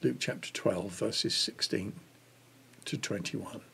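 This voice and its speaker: A man in his sixties reading a devotional book aloud in a measured way. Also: on the low side, creaky, fairly bright tone, slight background noise. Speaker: reader of a church Lent devotional.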